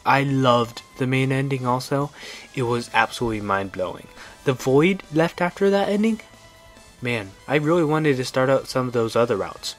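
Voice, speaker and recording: A man's voice: mid-range voice, fairly bright tone. A man narrating a scripted video game review in an enthusiastic, opinionated way. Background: background music.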